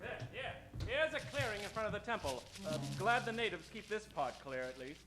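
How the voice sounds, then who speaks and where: An actor playing a confident, bossy jungle adventurer in a comic old-time radio show, brisk and hammy. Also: male voice, high voice, sing-song. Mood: wry.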